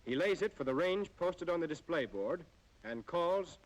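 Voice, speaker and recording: An American man reading scripted instructional narration in a formal, measured way, as in an old military training film. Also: a little high, animated, a little hiss or hum, poor audio.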